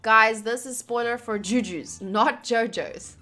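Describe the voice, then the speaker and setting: A woman streaming a visual novel, reading aloud and chatting casually. Her voice is fairly high and bright, with lively, playful swings and faint game music behind. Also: slow pace. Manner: animated, playful, exclaiming.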